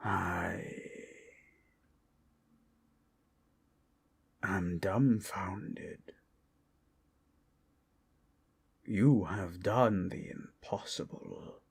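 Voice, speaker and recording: A man voicing a proud red dragon, a menacing yet tender protector, in a gravelly, breathy voice with slow, grandiose delivery for a fantasy ASMR roleplay. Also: deep voice, darkish tone, heavily acted. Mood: astonished.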